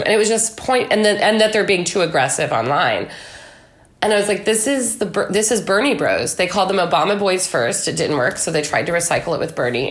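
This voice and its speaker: A woman speaking as an interview guest in a conversational political discussion, with an emphatic, anecdotal way of talking. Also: on the low side. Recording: echoey room.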